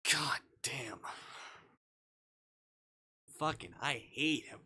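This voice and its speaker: Bright-voiced man streaming a visual novel. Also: fairly high pitch, huge pitch swings, very slow, slightly rough. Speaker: livestreamer. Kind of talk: reading a visual novel aloud with casual commentary.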